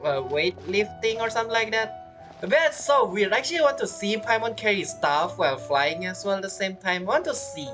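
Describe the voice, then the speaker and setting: A woman's voice: medium pitch, lively intonation, brisk, a bit bright, faint music behind. A woman streaming a game with casual, chatty and playful commentary. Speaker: gameplay streamer.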